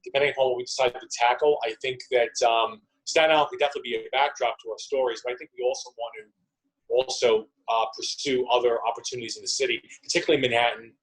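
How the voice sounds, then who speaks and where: Man, medium pitch, rapid pace, conversational interviewee. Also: fairly even intonation, fairly bright tone, rough recording. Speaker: interviewed theater company member.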